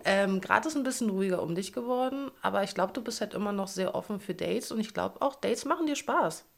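A woman's voice: medium pitch, animated, fairly quick, gravelly. A woman in her thirties from Berlin, co-hosting a chatty podcast in a playful, teasing way and laughing often. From Berlin, Germany. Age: 30s. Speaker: podcast co-host. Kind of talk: casual chat.

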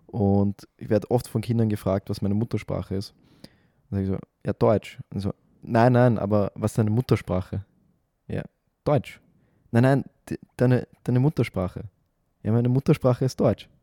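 A man's voice: lowish voice, fairly even intonation, moderate pace, dark tone, no echo, studio quality. A man co-hosting a podcast, chatty and recapping stories with fillers. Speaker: podcast co-host. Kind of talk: casual chat.

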